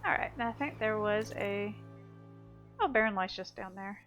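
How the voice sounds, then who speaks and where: A woman's voice: mid-range voice, moderate pace, a bit bright, highs cut off. A woman giving casual gameplay commentary, playfully exclaiming and talking to herself and the game. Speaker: video game streamer. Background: game music and sound effects.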